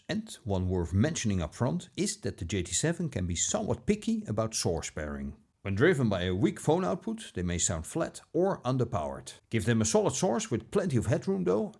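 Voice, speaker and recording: A man narrating a scripted product review as a video host, with a measured, polished presenter delivery. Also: lowish voice, dark tone.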